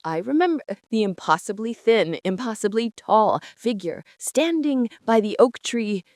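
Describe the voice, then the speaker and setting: A woman playing a traumatized, meticulous archivist, narrating a psychological horror drama in a brooding, deliberate way. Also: on the high side, animated, darkish tone, no echo, studio quality. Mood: haunted, resigned. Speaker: narrator and lead character of an audio drama.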